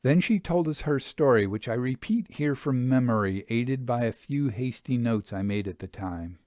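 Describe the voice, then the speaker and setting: A man narrating a Victorian ghost account as a scripted reading, in a measured, genteel manner. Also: mid-range voice, lively intonation, darkish tone, no echo, studio quality. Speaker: narrator reading a book aloud.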